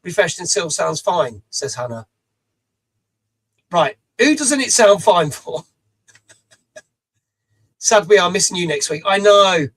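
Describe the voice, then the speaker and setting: A middle-aged man with a rough, raised voice hosts a live stream from a small home studio. He chats loosely, reads out viewers' messages and swears casually. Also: sits high, expressive, slow pace, long pauses, a bit bright.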